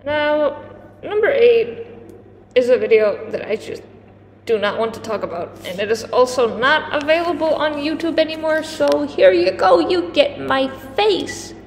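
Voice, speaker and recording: A woman's voice: high voice, measured pace. A woman giving casual countdown commentary to camera, conversational and a little hesitant, with dramatic emphasis on certain words. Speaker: host of a countdown video. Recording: echoey reverberant room, clear background noise.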